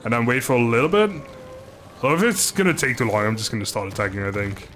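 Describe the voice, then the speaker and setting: A man's voice: medium pitch, very animated, fast, a bit bright, faint music behind. A man doing casual live game commentary, chatting informally with viewers, joking and swearing mildly. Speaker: game streamer.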